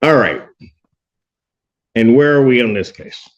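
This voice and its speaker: A middle-aged man presiding as a judge in a courtroom hearing, joking and teasing, then brisk and firm. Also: mid-range voice, animated, dull audio.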